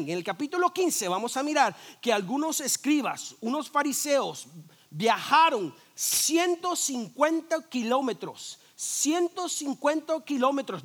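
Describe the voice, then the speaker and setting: A man, a pastor, preaching a sermon in a lively, conversational way, full of rhetorical questions, jokes and quick restarts. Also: very high voice. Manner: frequent restarts and repeated words.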